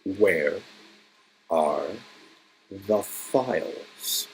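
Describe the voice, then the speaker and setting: A man's voice: low-pitched, lively intonation, drawn-out words, gravelly, a little hiss or hum, heavily acted, poor audio. A man voicing a menacing, cold villain boss who can turn snarling and frantic, in a dramatic game dialogue reading. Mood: cold menace.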